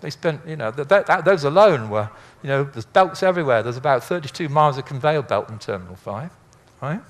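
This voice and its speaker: Older guy doing an informal lecture, kinda rambly and chatty, dry jokes, medium pitched voice. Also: expressive, brisk. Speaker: speaker, former air traffic controller.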